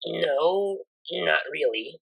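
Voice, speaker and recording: A woman's voice: deep voice, expressive, very slow, gravelly, heavily acted. A woman voicing an awkward, smitten young unicorn DJ in a story, with a hesitant, informal, stammering way of talking.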